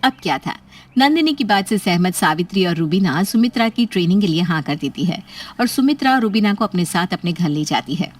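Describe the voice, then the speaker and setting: Animated woman storyteller with lively pitch. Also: brisk. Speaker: story narrator. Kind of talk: scripted storytelling narration.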